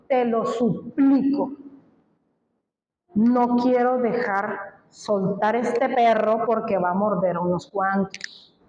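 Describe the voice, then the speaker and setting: A woman's voice: on the high side, a little mellow, roomy sound, dull audio, a little hiss or hum. A woman from Colombia preaching a sermon, speaking emphatically and asking the congregation questions. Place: church.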